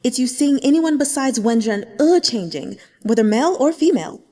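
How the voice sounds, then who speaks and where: A woman's voice: high voice, animated, moderate pace, pitched above the performer's own voice. A woman voicing a sly, exasperated sect protector in an audiobook, outwardly respectful and coaxing. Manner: soft-spoken, coaxing, teasing.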